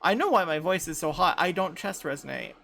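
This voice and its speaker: A woman in her thirties in casual group chat, light voice without chest resonance, talking haltingly with many restarts. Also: on the low side, lively intonation, gravelly, fairly bright tone. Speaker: podcast panelist.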